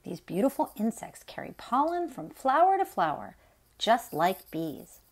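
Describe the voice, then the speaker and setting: A middle-aged woman reading a children's nonfiction picture book aloud as story time host, in an expressive, deliberate way. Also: a little high.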